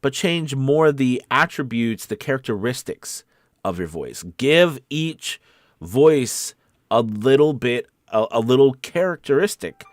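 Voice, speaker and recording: A man's voice: mid-range voice, animated, measured pace, no room sound, clean studio sound. A man coaching voice-over in a casual, conversational live talk, encouraging in manner, with a slight case of the sniffles. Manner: encouraging, conversational, often checks in with "okay" and "right". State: sniffly with a cold or weather sniffles.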